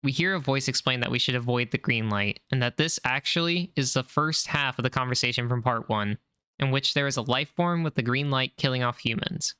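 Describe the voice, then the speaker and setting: A man narrating a recap of a horror series in a calm, measured way. His voice is bright and crisp, recorded close and dry with no room sound. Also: mid-range voice, no room sound. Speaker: video narrator.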